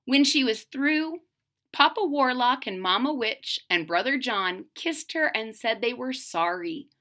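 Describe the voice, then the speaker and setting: High-pitched, lively woman reading aloud. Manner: dramatic, slow, pausing to ask questions. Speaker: storyteller reading aloud to children. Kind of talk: expressive read-aloud of a picture book with questions to young listeners.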